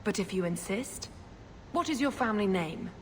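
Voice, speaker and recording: An actress performs a zealous woman of the cloth in a fantasy game. She speaks formally and deliberately, with self-righteous conviction and a middling pitch. Faint ambient noise sits behind her. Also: animated, close to an everyday voice. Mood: stern, righteous. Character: stern, zealous Chantry sister with a covert scheme. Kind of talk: scripted fantasy video game dialogue.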